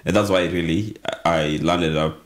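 Kenyan man in his thirties, very low voice, rambling storyteller, podcast mic in a small studio. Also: steady tone, a little fast. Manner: storytelling, mixes in Swahili phrases, many restarts. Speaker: guest. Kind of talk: casual interview. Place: small indoor studio with dark curtains. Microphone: desk podcast mic on arm.

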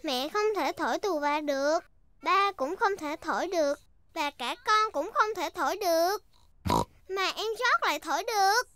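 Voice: very high voice, expressive, moderate pace, sharp tone, no echo, heavily acted. A female performer voicing a cheerful, curious little girl pig in a children's cartoon, eager and childlike.

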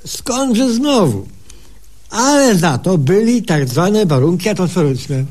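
A man's voice: sits high, big pitch swings, darkish tone, heavily acted. An actor playing a cocky, simple-minded male patient in a humorous radio sketch, with folksy, ungrammatical speech. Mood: boastful. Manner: folksy, ungrammatical, drawn-out exclamations.

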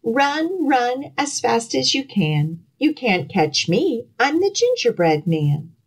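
A woman acts out a cheeky little gingerbread man from a storybook. The voice is high and lilting, with a boastful, giggly singsong. It swings up and down as it taunts. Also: sing-song, slow, breathy edge, heavily acted. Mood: playful taunting.

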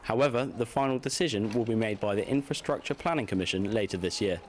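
A man's voice: lowish voice, fast talker, a little hiss or hum. A man reading a scripted news report as a reporter.

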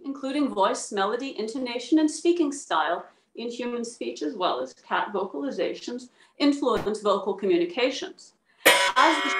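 A woman with a fairly high voice presents academic material on a video call. The sound is noticeably roomy, with the echo of an indoor space. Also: a bit bright. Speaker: presenter reading a research paper. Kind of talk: dramatic reading of a scientific paper, then answering questions. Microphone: video call microphone.

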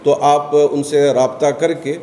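A man's voice: a little high, flat delivery, takes it slowly, dark tone. A man in his forties with an Indian accent, explaining things as a cleric at a press conference. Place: bare indoor room with hard walls. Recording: echoey hall, background room noise.

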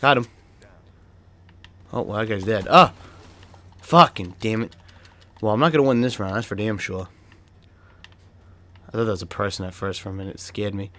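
A man's voice: on the low side, moderate pace, long pauses. A young man with a Boston accent giving casual gameplay commentary, cursing, laughing and yelping when surprised. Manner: excitable, swears and laughs often, yelps when startled. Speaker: gaming video creator.

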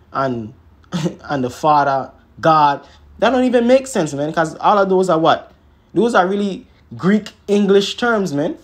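A man teaching scripture in an emphatic, informal way, peppering his talk with tag words and rhetorical questions. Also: sits high. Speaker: religious teacher.

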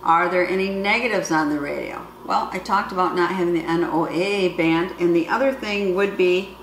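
A woman's voice: fairly low pitch, a bit bright. A middle-aged woman giving a casual hands-on product demonstration in a plain-spoken, explanatory way, recorded in a home kitchen with the camera a few feet away. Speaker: product reviewer. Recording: roomy echo, faint background noise.

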